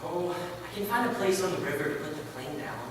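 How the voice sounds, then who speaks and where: A man's voice: high-pitched, lively intonation, a little fast, distant mic. A man playing a resolute, devout missionary pilot and father in a readers theater piece. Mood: determined. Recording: echoey hall from afar, faint noise.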